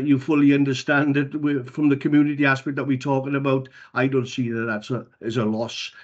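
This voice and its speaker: Councillor guy, plain-spoken, husky, muffled call audio. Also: mid-range voice. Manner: plain-spoken, many fillers. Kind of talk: council meeting remarks. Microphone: video call. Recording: dull lo-fi video call audio.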